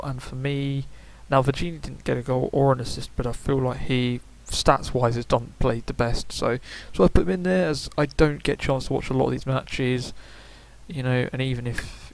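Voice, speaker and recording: A man's voice: medium pitch, vocal fry, poor audio. A young man giving casual, rambling video game predictions, full of fillers and self-corrections. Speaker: gaming YouTuber.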